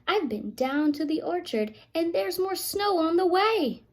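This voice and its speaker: A woman's voice: very high-pitched, sing-song, unhurried, voice pushed up, exaggerated voice. A woman voicing an earnest, worried young male fox from a storybook, giving urgent, emphatic warnings.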